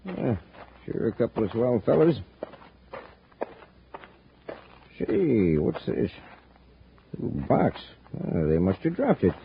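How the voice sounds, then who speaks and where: An actor playing a folksy, friendly homesteader with a gravelly, husky voice in a 1950s radio serial. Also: male voice, medium pitch, very animated, measured pace. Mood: curious, excited. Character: folksy, friendly rustic Martian homesteader, lonely and helpful. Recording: muffled, hissy 1950s radio recording.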